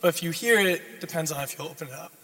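A hoarse young man preaching a sermon as a guest speaker, repeating his key lines and building in intensity. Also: a little high, very fast, sharp tone. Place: church sanctuary. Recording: long church reverb.

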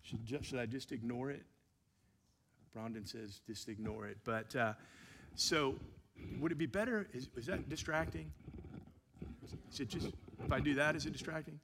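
A man who is a pastor, giving an evening church teaching with a relaxed, self-deprecating manner, many fillers. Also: mid-range voice, moderate pace, creaky, pauses often, noisy background. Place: church sanctuary. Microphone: handheld microphone.

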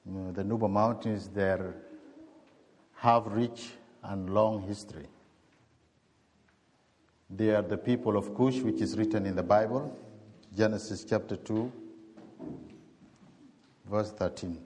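Sudanese bishop in his 40s giving a testimony, low voice, halting careful english, long echo like a big church. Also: fairly even intonation, darkish tone. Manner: slow, halting, heartfelt non-native English.

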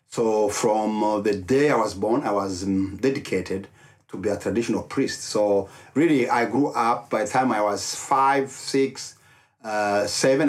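A middle-aged man with a Rwandan accent, telling his personal story as an interview guest in deliberate, halting short phrases. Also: on the low side. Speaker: interview guest, ministry leader.

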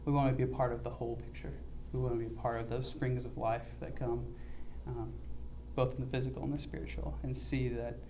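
An earnest young man recounts a mission trip in a halting, conversational way, filling pauses with ums. His voice is dark and mellow. A faint hiss sits under the recording. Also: mid-range voice, flat delivery, poor audio. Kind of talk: church mission report, casual.

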